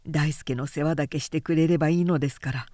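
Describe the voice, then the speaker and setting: A woman voicing a serious, polite samurai father in a measured, solemn storybook reading. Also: low voice, fairly even intonation, warm tone, no echo, clean studio sound, pitched below the performer's own voice, lightly acted. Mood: pleading. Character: anxious, polite samurai father, grave and brooding.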